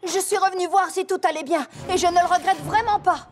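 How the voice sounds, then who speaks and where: Overprotective cartoon mare, very high voice, earnest and emphatic, doting on a younger sibling. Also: female voice, steady tone, brisk. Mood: firm. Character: overprotective big sister pony, doting and earnest. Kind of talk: animated cartoon dub acting. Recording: music behind the voice.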